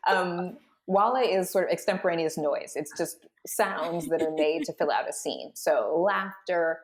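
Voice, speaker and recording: A woman's voice: on the low side, expressive, measured pace, slight background noise. A woman hosting a cast discussion as a voice-over director, explaining things in an encouraging way. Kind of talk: guiding a cast panel discussion.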